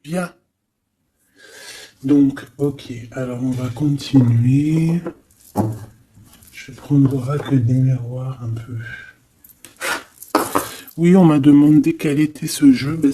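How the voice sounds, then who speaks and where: A man with a mellow, middle-pitched voice talks at a slow, unhurried pace through a casual tarot reading. He addresses the listener familiarly, repeating phrases as he feels his way along. A faint noise sits behind the voice. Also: steady tone. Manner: hesitant, repeats phrases, frequent "hein" tags, familiar address. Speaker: tarot card reader, host.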